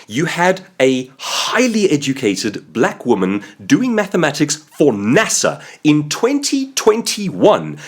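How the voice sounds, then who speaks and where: South African male host, 30s, husky, emphatic and sarcastic, hugely lively pitch. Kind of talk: opinion commentary monologue.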